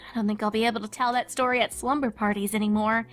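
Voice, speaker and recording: A woman voicing a studious, sincere young female unicorn in a cartoon fan fiction reading, earnest and articulate with a slightly gruff, sceptical streak. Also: on the high side, flat delivery, quick pace, faint music behind, natural voice. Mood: apologetic, sincere.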